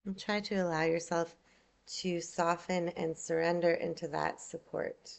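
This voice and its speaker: Lady doing a guided relaxation, really slow and gentle, tons of vocal fry, lots of pauses. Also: on the low side, level tone. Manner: soothing, unhurried, gentle instruction with long pauses. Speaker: yoga nidra instructor. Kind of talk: guided meditation.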